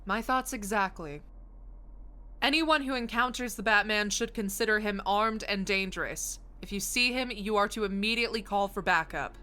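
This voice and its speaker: Woman acting as bright, brisk police lieutenant. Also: medium pitch, natural voice. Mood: businesslike. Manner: businesslike, articulate. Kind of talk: audio drama acting.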